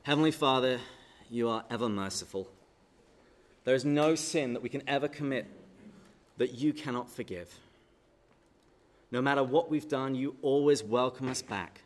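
A man's voice: medium pitch, normal speed, long pauses. English guy giving a chatty church talk, cracks jokes, asks the crowd questions, medium voice. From England. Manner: jokey and chatty, quizzes the audience, then leads prayer solemnly. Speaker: speaker at a parish talk. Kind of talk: humorous church talk to a live audience. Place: hall with an audience.

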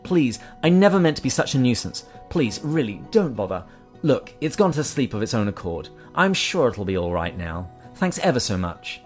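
A man voicing a fussy, evasive male ghost who pleads and makes excuses in a higher, strained voice. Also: big pitch swings, a little fast, faint music behind, lightly acted. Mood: pleading. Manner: whiny, pleading, self-excusing.

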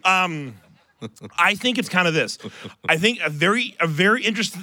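Bright-voiced American man joking on a podcast. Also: sits high, expressive, moderate pace, no echo. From Ohio, United States. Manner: self-deprecating, sarcastic joking, rambling asides. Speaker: podcast co-host. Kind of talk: casual live podcast banter. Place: on stage before a live audience. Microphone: close stage microphone.

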